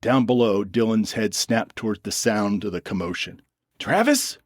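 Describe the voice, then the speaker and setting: A man's voice: on the low side, fairly even intonation, normal speed, no room sound, clean studio sound. A man reading scripted, dramatic story narration as the narrator.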